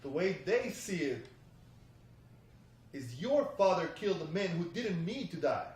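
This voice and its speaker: A stern, menacing gang leader read by a man with a fairly high voice. He talks deliberately and guardedly in a drama table read, off the mic in a reverberant room. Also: big pitch swings, a little mellow. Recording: echoey room, distant mic.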